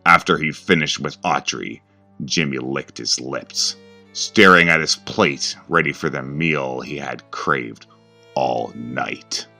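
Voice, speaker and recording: A man with an extremely deep voice delivers scripted horror narration. He goes slowly and deliberately, dramatic and ominous, with a sharp, forceful tone. Music plays behind him. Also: creaky.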